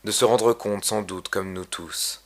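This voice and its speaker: A man reading his own poem aloud in a solemn, measured, literary recitation. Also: lowish voice, fairly even intonation, creaky. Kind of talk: poetry recitation.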